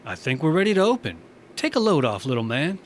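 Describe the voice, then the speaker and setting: Easygoing space bar owner, slightly high animated voice, friendly casual delivery, sci-fi drama. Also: male voice, fast talker, slight background noise. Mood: pleased, friendly. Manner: casual, encouraging. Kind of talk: sci-fi audio drama acting.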